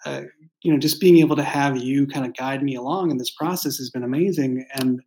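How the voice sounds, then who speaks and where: A man's voice: mid-range voice, brisk, warm tone, a little hiss or hum. A man speaking as a writer in a casual behind-the-scenes discussion, with a thoughtful, hesitant, rambling way of phrasing things. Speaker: writer and creator of an audio drama.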